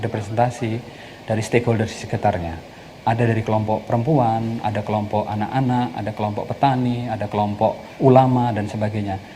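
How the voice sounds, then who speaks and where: A man's voice: fairly low pitch, dark tone. A man explaining things in an interview as an advocate. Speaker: community radio advocate being interviewed. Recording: noticeable background noise.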